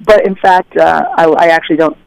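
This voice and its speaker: A woman telling stories as an interview guest, animated and conversational, acting out the voices of people she quotes. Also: sits low, brisk, creaky, background noise. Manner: animated, acts out dialogue in voices. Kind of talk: casual conversational storytelling.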